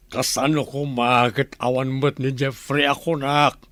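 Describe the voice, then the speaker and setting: A man's voice: mid-range voice, level tone, unhurried, a bit bright, dry recording, close to an everyday voice. A man with an Ilocano accent playing a wary, questioning village father in a radio drama.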